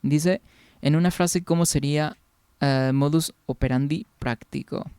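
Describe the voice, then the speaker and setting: A man's voice: on the high side, steady tone, a little slow, warm tone, clean tone, no room sound. A young man from Peru, chatting casually with viewers during a game stream, rambling along and answering their comments.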